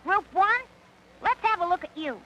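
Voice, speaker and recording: A woman's voice: very high voice, animated, moderate pace, a bit bright, a little hiss or hum, low-quality recording. A woman playing a bossy, exacting cartoon parade director who gives orders and coaches with exasperation. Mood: exasperated.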